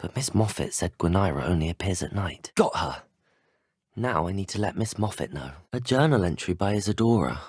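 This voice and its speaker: A slightly breathy young British male student wizard for a video game. He is earnest, curious and well-spoken, with lively, swooping intonation in a close, dry recording. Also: deep voice, a little fast, no echo, full character voice. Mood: earnest, polite. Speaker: player character voice.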